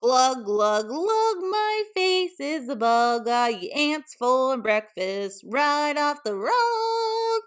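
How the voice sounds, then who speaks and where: A woman voicing a silly, boastful robot monkey from a storybook, in a goofy, exaggerated, drawn-out manner. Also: sits high, sing-song, faint music behind, heavily acted. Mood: silly singsong.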